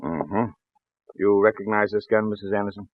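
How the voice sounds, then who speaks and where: An actor playing a wry, folksy American small-town police lieutenant, probing hesitantly in 1940s radio style. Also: male voice, fairly low pitch, normal speed, no room sound, lightly acted. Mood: wry, doubtful. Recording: muffled vintage 1940s radio recording.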